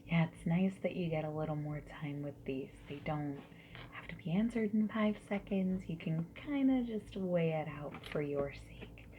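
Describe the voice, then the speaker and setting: A woman doing a soft-spoken ASMR roleplay as a friendly survey interviewer, slipping between whispers and quiet speech. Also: deep voice, animated. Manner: friendly, gentle, unhurried questioning with soft acknowledgements. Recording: lo-fi muffled sound with background noise.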